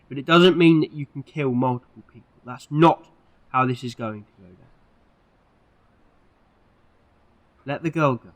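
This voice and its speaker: A man's voice: fairly high pitch, close to an everyday voice, low-quality recording. A man playing an eccentric, moralising alien time traveller in an audio drama, speaking earnestly and emphatically. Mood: firm, commanding. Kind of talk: fan audio drama acting.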